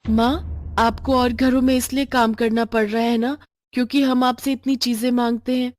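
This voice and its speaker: A woman's voice: fairly high pitch, level tone, moderate pace, a little mellow, no echo, lightly acted. A woman acts as a caring young child from a poor family in a storybook tale. The delivery is earnest and pleading, with a dry sound. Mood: earnest, concerned. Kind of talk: moral storybook voice acting.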